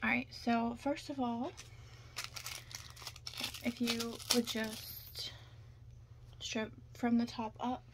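A young woman doing an ASMR roleplay in whispers and soft talk, hushed, chatty and a little hesitant, recorded close up indoors. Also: a little high, fairly even intonation, drawn-out words, long pauses, heavy background noise.

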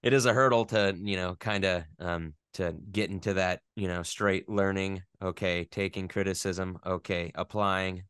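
A young man from the American West Coast with a low, smooth, resonant voice. He talks in a rambling, animated way and often laughs at himself. The recording is dry and close. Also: moderate pace, few pauses, dry recording. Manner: rambling, animated, self-deprecating. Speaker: voice actor guest. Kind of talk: casual interview chat.

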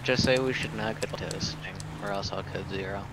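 A man's voice: low voice, a little fast, vocal fry. A man casually talking to other players over an online game's voice chat. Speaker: player in an online roleplay game. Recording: noisy game voice chat, ambience behind.

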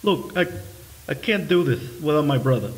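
A man's voice: on the high side, expressive, normal speed, natural voice, low-quality recording. An actor playing a timid, devoted video game brother who speaks hesitantly and earnestly, stammering as he goes. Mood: anxious, pleading.

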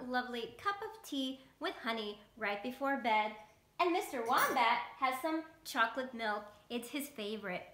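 A woman's voice: sits high, pushed and bright. A woman in her thirties talking to young children as a bedtime story host, in simple words with questions to the listener. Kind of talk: storytime talk to young children, read-aloud. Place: indoors, small bedroom. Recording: roomy, echoey home recording.

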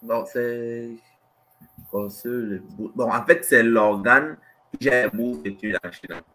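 A man's voice: medium pitch, takes it slowly, bright, forceful tone. A man in his twenties with a Cameroonian accent, explaining things informally as a guest on a webcam call. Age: 20s. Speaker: guest, medical student. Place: indoor room. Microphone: webcam video call. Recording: noisy webcam call audio.

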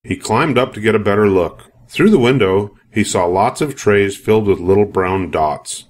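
An older man narrates a children's storybook in a fairly low voice. He reads expressively for young listeners, in a scripted read-aloud style. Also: normal speed, darkish tone.

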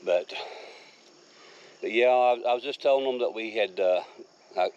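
A man's voice: on the low side, normal speed, a little mellow, smooth. A man rambling casually as he films a walk around his wooded homestead. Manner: easygoing, rambling, folksy. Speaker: homesteader showing his land. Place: outdoors on rural wooded land. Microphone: handheld camera. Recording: slightly dull outdoor camera audio. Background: crickets and insects.

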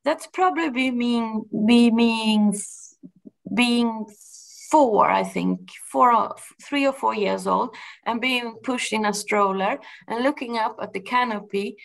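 A middle-aged woman from Argentina with a Spanish accent, a gardener speaking thoughtfully to an audience, pausing and restarting often. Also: a little high, vocal fry, low-quality recording. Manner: thoughtful, halting with frequent restarts and fillers. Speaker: gardener and project manager giving a talk. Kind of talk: personal talk to an audience.

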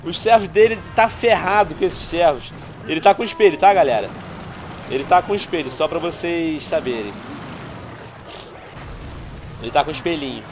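A man's voice: a little high, normal speed, long pauses, background noise. A man in his thirties with a Brazilian Portuguese accent, stuffy from a cold, chatting to viewers in casual game commentary over a headset at home. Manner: chatty, addresses viewers constantly, excitable outbursts. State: congested with a cold. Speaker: gaming YouTuber. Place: small room at home, indoors.

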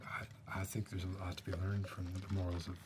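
A middle-aged man playing a shifty, awkwardly neighborly backwoods man in a quiet, deadpan, halting ASMR roleplay. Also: low-pitched, close to an everyday voice. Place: dim indoor room. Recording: close recording with music behind.

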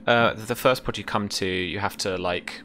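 A young man working as game master, narrating a tabletop scene in a casual, halting, descriptive way. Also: lowish voice, fairly even intonation, pushed and bright, a little hiss or hum. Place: indoor room, remote session. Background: music.

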